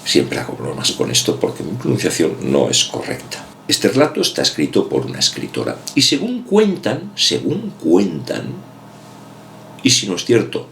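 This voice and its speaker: A man's voice: fairly low pitch, huge pitch swings, moderate pace, creaky, a bit bright, slight background noise. A man giving a casual, rambling book commentary, hedging often and repeating himself. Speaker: book vlogger.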